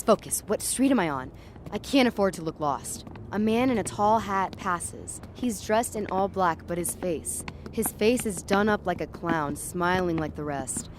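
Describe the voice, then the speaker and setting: A woman's voice: lowish voice, lively intonation, unhurried. A woman playing an uneasy, tripping young woman walking home at night, narrating in the first person in a dramatic audio story. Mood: uneasy, watchful. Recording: clear street and traffic ambience behind.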